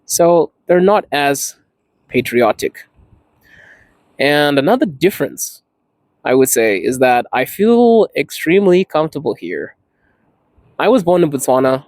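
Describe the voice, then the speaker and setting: A man's voice: a little high, very animated, unhurried, long pauses, a little mellow, dry recording. A man from Zimbabwe talking candidly in a casual vlog, laughing often and stretching words for emphasis. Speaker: podcast host. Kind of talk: casual personal vlog talk.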